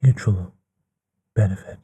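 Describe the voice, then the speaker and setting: Guy doing a polite bookish vampire gentleman, asmr bedtime rp, super soft dark mellow voice, really slow, breathy and half whispered, fond and musing. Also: natural voice. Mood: tender, soothing. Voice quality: breathy, hushed, often slipping into whisper. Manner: musing, genteel, unhurried with pauses. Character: courtly, bookish male vampire, gently affectionate. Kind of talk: intimate ASMR bedtime roleplay.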